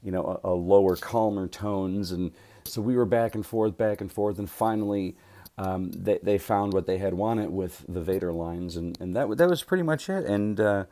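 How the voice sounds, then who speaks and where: Voice actor, male, casual vlog chat; chatty and grateful, low-pitched and warm. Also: moderate pace. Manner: rambling, many fillers and restarts. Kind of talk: casual vlog talk to camera.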